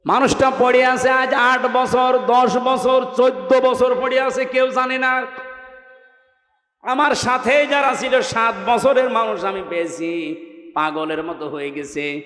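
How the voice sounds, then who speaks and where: A Bangladeshi man preaching an Islamic sermon to a gathering in an emphatic, exhorting way, swinging between raised cries and hushed lines over a public-address microphone. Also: very high voice, steady tone, a little slow. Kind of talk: sermon to a public gathering, with chanted scripture recitation. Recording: echoing loudspeaker sound, long reverb.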